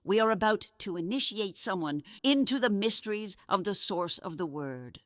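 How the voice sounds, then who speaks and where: A woman's voice: mid-range voice, expressive, normal speed, dry recording, studio quality, close to an everyday voice. Woman as a dignified, solemn circus sect leader. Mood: authoritative. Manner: formal, solemn. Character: dignified, ceremonious woman ringmaster leading a sect. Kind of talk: audio drama acting.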